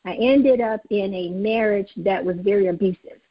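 Southern-accented woman, interview guest, earnest testimony, husky edge, lo-fi audio. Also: mid-range voice, moderate pace. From Mississippi. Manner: earnest, faith-filled, with frequent fillers. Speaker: guest, nonprofit founder.

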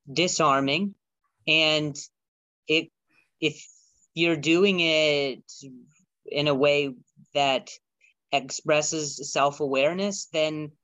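A man who stutters, a podcast guest talking reflectively, halting often with restarts and repeated words. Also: on the high side, bright, forceful tone, dry recording. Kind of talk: reflective conversation.

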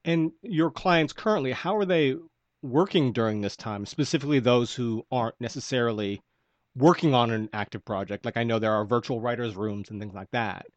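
A man's voice: medium pitch, normal speed, dry recording. A man hosting a podcast, asking loose, conversational questions with fillers. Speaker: podcast host. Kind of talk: casual podcast interview. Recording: dry, slightly dull audio.

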